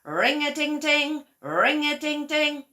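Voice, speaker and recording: A woman voicing a cheerful, affectionate storybook scarecrow with an excitable, lilting delivery. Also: high-pitched, slow, pitched above the performer's own voice, heavily acted. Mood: pleading, eager.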